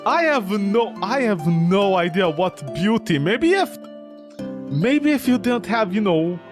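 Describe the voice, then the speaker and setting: A man with a high, very lively voice gives casual commentary on a game. He sounds mocking and incredulous as he talks. Music plays clearly behind him. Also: takes it slowly. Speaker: gaming video host. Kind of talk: casual game commentary, reading dialogue aloud.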